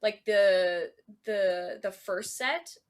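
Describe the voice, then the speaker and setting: A woman's voice: mid-range voice, extremely slow. A woman chatting casually as a co-host on a podcast. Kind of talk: casual chat.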